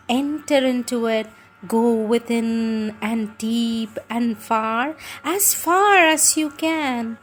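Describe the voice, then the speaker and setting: Slow, higher-pitched woman reading devotional text. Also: a bit bright. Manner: measured, deliberate, emphatic with repeated phrases. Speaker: reader of spiritual teachings.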